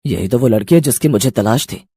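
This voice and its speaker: A man voicing a fussy, emphatic TV serial director in an animated moral story. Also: medium pitch, brisk, breathy edge, no echo, studio quality. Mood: decided. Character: fussy male TV serial director hunting a heroine.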